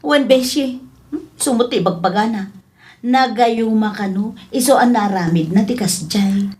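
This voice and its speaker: A woman playing an indignant, hurt but firm wife and mother in a radio comedy drama, sharp-tongued, with an Ilocano accent. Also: on the high side, measured pace, close to an everyday voice. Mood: indignant, venting.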